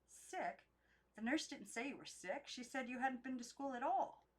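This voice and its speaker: A severe elderly aunt in a storybook, acted out by a woman narrator. She is commanding and quick to accuse. Worry sharpens her scolding. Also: high-pitched, expressive, voice pushed up. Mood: stern, accusing. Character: stern, anxious old aunts scolding a runaway niece.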